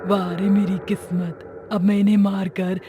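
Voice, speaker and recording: A woman voicing a gleeful, bloodthirsty, liquor-loving witch in a cartoon story, with theatrical, drawn-out menace. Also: mid-range voice, little pitch movement, a crowd in the background, close to an everyday voice. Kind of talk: animated moral story dialogue.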